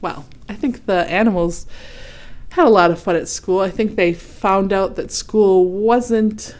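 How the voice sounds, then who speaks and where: A woman reading a children's storybook aloud expressively, pausing to ask her young listeners questions and add comments. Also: medium pitch, a little mellow. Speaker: read-aloud host.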